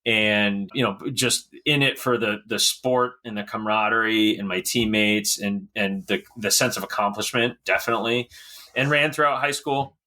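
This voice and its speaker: Guy from connecticut being interviewed, bright punchy voice, chatty, stops and starts a bit. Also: on the low side, steady tone, moderate pace. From Connecticut, USA. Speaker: podcast guest, race director. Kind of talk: casual interview chat.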